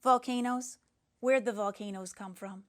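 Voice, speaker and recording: A woman's voice: mid-range voice, fairly even intonation, measured pace, close to an everyday voice. A woman voicing a sentimental schoolgirl who earnestly and dramatically tells a princess fairy tale from a storybook. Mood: indignant.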